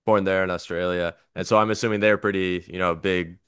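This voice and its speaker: A man's voice: sits low, steady tone, fairly quick, fairly bright tone, smooth, no echo, clean studio sound. An American man hosting a podcast, asking chatty, rambling questions with lots of fillers. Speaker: podcast host. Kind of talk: casual interview.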